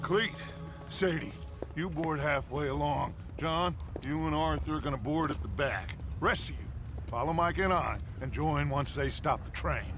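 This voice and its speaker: An actor playing a charismatic, scheming American outlaw gang leader in a dramatic video game, speaking in grandiose, theatrical speeches. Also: male voice, a little high, moderate pace, over loud music, close to an everyday voice. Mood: rousing, commanding.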